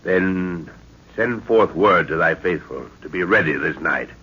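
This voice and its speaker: A man acting a commanding, middle-aged expedition leader in an old-time radio serial, measured and authoritative. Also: low voice, husky, full character voice. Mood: stately, probing. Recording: muffled, noisy old radio recording.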